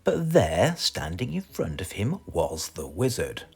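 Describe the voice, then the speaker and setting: A man's voice: deep voice, huge pitch swings, unhurried, vocal fry, a little mellow, husky, faint music behind. A man with a British accent reading a children's story aloud as a storyteller.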